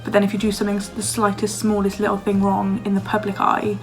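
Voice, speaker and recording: Chatty young woman with a hoarse voice. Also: mid-range voice, completely flat delivery, quick pace, soft background music. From Wales. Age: young adult. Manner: chatty, lots of "like", laughs often. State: slightly hoarse, losing her voice. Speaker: vlogger. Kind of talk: casual Q&A chat. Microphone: phone camera.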